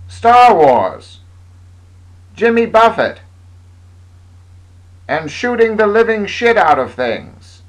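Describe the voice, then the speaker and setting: A man's voice: fairly high pitch, poor audio. A man narrating a parody old-time newsreel in a mock-grandiose announcer delivery.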